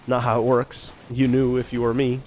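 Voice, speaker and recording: A man's voice: mid-range voice, completely flat delivery, normal speed, a little mellow, slight background noise. A young man chatting casually with viewers on a game stream, rambling and thinking aloud with many restarts and questions. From Ireland. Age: young adult. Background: faint background beats.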